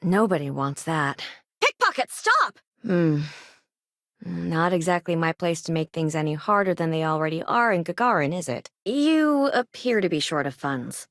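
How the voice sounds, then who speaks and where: Dry, expressive low-voiced woman doctor. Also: normal speed, dry recording. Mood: alarmed shouting. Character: no-nonsense, weary but caring woman doctor in a space colony. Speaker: video game voice actor. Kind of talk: scripted game dialogue lines.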